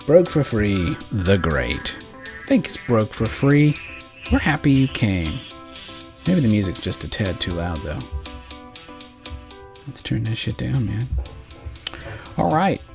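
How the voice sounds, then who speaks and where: A man's voice: lowish voice, very animated, takes it slowly, vocal fry, long pauses. A man hosting a loose, rambling late-night show, swearing casually as he chats and reads a novel aloud. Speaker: podcast host. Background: faint background music.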